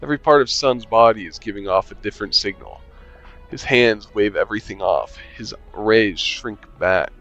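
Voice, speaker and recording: A man's voice: fairly low pitch, normal speed, a bit bright, muffled recording, soft background music. A man reading a visual novel aloud with commentary, making dry, sarcastic asides and swearing casually. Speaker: game streamer.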